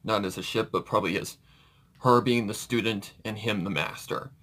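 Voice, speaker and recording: A man's voice: lowish voice, moderate pace, a bit bright. A man hosting a reaction video, giving casual commentary in an informal, hesitant, rambling way.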